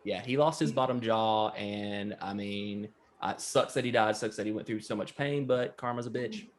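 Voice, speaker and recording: A young man with a low voice, co-hosting a casual movie podcast and talking bluntly with a rambling, joking manner. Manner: rambling, blunt, quick to joke. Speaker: podcast co-host.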